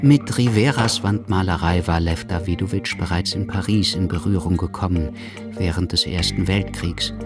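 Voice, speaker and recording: An actor playing a melancholy Cuban writer, narrating a radio drama in a reflective storytelling manner. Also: male voice, low voice, moderate pace, over music, natural voice. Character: melancholy Cuban writer telling his tale, reflective man.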